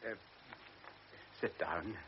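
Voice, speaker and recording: An actor playing an older wealthy baron, a doting father who speaks hesitantly and apologetically, in a classic radio drama. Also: drawn-out words. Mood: anxious, pleading. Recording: dull old radio recording with faint hiss.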